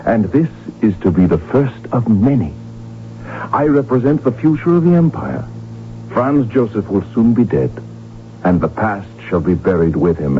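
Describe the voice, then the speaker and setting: A man's voice: deep voice, a little hiss or hum. A man playing a proud, ambitious, defiant archduke and devoted husband in a radio drama, speaking with grandiose, theatrical emphasis. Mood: triumphant, tender. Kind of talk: 1970s radio drama acting. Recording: muffled, humming old radio broadcast recording.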